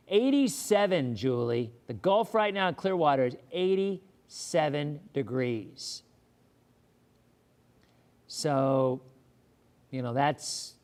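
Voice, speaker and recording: A hoarse man hosting a live weather show, chatting casually and answering viewer questions, often repeating words as he thinks. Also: a little high.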